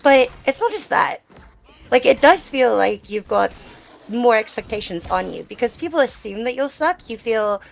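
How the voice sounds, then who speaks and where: A woman's voice: a little high, animated, normal speed, gravelly, low-quality recording. A woman gamer answering questions in a casual live Q&A, talking in a reflective, explanatory and conversational way. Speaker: gamer being interviewed. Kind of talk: live Q&A, casual personal talk.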